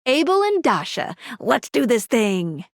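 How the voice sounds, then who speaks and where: A woman's voice: a little high, sing-song, measured pace, no room sound, studio quality. A woman playing a peppy, folksy guide in a game, talking in an eager, informal, coaxing way. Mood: eager, excited.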